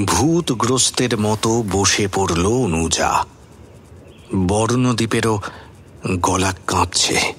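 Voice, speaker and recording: A man's voice: lowish voice, slow, long pauses, fairly bright tone, a little hiss or hum. A man giving scripted, dramatic narration for an audio story.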